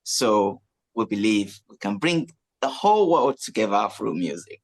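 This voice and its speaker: A man's voice: on the low side, big pitch swings, measured pace. A man hosting a radio interview, friendly and chatty, with frequent filler phrases. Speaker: radio host.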